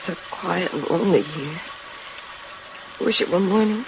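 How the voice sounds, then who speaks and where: An actress playing a frightened, panicky wife stranded at night in a 1950s-style radio drama. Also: female voice, fairly low pitch, measured pace. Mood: uneasy. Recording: old muffled radio recording, heavy noise.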